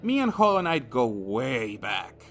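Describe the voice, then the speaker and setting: A man's voice: mid-range voice, big pitch swings, unhurried, a bit bright, soft background music. A man narrating an opinionated video game review in a crude, sardonic way, swearing freely.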